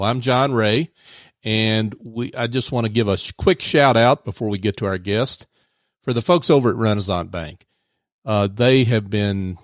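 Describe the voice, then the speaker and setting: A man hosting a radio business show, chatting in a relaxed way with many fillers from a studio. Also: lowish voice, no room sound. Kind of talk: casual radio interview.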